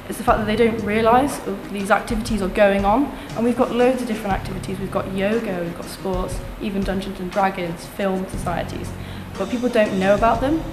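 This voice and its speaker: A young woman making an earnest, confident campaign pitch as a student election candidate, restating her points in lists. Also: a little fast, hardly any pauses, noisy background. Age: young adult. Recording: echoey room with music behind.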